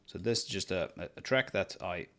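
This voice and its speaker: A man's voice: deep voice, brisk, sharp tone. A man with a Swedish accent, a game developer chatting casually on a livestream and thinking aloud as he works, often repeating words. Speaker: solo game developer streaming. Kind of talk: casual livestream chat while working.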